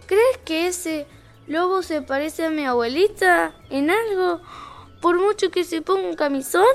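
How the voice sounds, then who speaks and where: A sassy young fairy-tale girl, acted by a woman who refuses to follow her story. She speaks in a high, defiant voice for a comic audio drama, with faint music behind. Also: unhurried. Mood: demanding.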